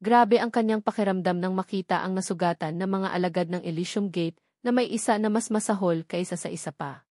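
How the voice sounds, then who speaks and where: A woman's voice: medium pitch, a little fast, darkish tone, no echo, studio quality. A woman reading a novel aloud as a scripted audiobook narrator, keeping a formal reading voice.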